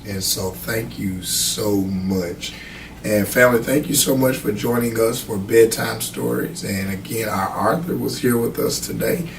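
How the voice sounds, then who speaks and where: A man with a lowish voice and a noticeable creak speaks as a pastor hosting a show. He addresses viewers earnestly and gratefully. The mic is a bit distant, with faint noise. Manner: earnest, appreciative. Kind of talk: closing remarks to viewers.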